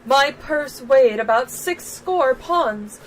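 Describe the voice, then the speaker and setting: A woman's voice: high-pitched, expressive, slow, a little hiss or hum, rough recording. A woman voicing a polite, naive and dramatic young lady in a video game, telling her story in a prim, earnest way.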